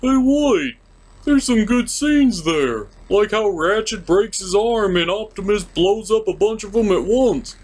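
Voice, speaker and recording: Man putting on an excitable high-pitched puppet heckler. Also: measured pace. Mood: eager, protesting.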